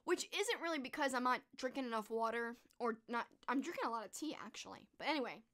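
A young woman with a high, hoarse voice that is cracking and fading, talking eagerly and casually with plenty of fillers. She is close to a studio microphone in a small bedroom. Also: expressive, quick pace, a bit bright. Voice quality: strained, croaky. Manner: chatty, enthusiastic, frequent "like" fillers. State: losing her voice, hoarse. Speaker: streamer reviewing books and movies. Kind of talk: casual chat. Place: small bedroom with posters, indoors. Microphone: large studio microphone close in front.

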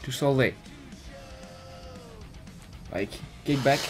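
A man's voice: medium pitch, extremely slow, a little mellow, soft background music. A young man casually commenting while playing a video game, speaking into a headset at home. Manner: laid-back, muttering remarks. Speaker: gamer streamer. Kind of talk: casual let's-play commentary.

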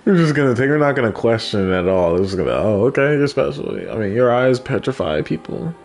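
A man's voice: mid-range voice, expressive, quick pace. A man casually commenting while playing a video game, joking and reading the game text aloud. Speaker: gameplay streamer. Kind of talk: casual let's-play commentary. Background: game music.

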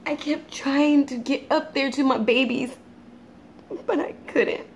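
Woman playing a guilt-wracked grieving mom, kinda unhinged, slow and halting, theatrical, bit of background noise. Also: high voice, steady tone. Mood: distraught. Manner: halting, anguished, dramatic. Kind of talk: theatrical dramatic monologue.

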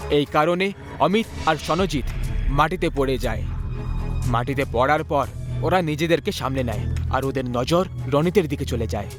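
A man narrating an animated horror story as a scripted storyteller. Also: medium pitch, normal speed. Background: music.

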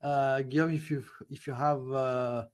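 A man's voice: medium pitch, fairly even intonation, unhurried, darkish tone. A middle-aged man hosting an interview over a video call, introducing guests and hesitating with repeated words. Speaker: host.

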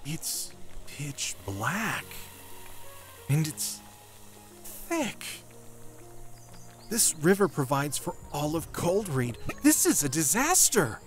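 Man acting anxious adventurer, hugely expressive pitch, unhurried, fretful, proper, fantasy audio drama. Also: faint music behind, exaggerated voice. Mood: alarmed. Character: fussy, anxious male companion in sandals.

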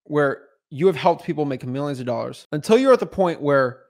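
Young man, intense motivational rant, repeats himself, slightly high voice, podcast mic, small home studio. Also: expressive, a little fast, darkish tone. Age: 20s. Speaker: real estate wholesaling coach. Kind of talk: unscripted motivational talk to camera. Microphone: podcast mic on boom arm in front.

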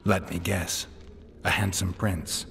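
A man voicing a weary, sardonic imprisoned monster hunter in a video game, with dry, deadpan delivery. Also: low-pitched, slow, vocal fry, slight background noise, full character voice.

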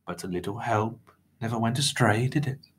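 A man voicing a menacing, falsely jovial little villain who speaks with drawn-out mocking politeness in an audiobook story. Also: on the low side, a bit bright. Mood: mock sweet.

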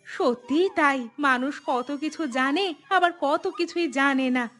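High, bright humble village housewife, acted by a woman. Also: slow pace, soft background music. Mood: pleased, grateful. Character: polite, humble poor village housewife selling gourds. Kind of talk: cartoon voice acting.

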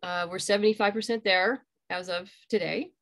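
A middle-aged woman giving casual, explanatory interview answers on a video call, haltingly thinking aloud. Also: medium pitch, fairly even intonation, fairly bright tone. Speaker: interview guest, audio drama creator. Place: small room at home.

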